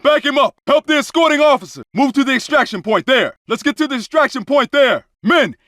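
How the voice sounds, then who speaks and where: A man's voice: very high voice, lively intonation, a little fast, fairly bright tone, no echo, clean studio sound. A man playing a tough undercover police officer in a video game, barking urgent, clipped orders and combat callouts.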